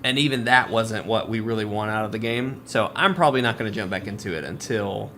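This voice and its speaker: A man's voice: fairly low pitch, fairly quick, sharp tone, slight background noise. A man hosting a casual gaming podcast, chatting with friends. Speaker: podcast host.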